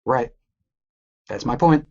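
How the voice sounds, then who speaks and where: A man's voice: mid-range voice, slow pace, warm tone, dull audio. A man in a heated debate, combative and repeating his challenges.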